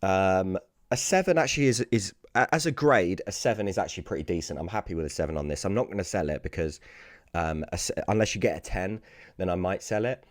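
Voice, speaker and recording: A British man with a hoarse, raspy voice that sounds worn out, hosting a casual sports card unboxing video. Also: low voice, fast talker, no echo, studio quality. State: hoarse, lost voice from screaming the night before.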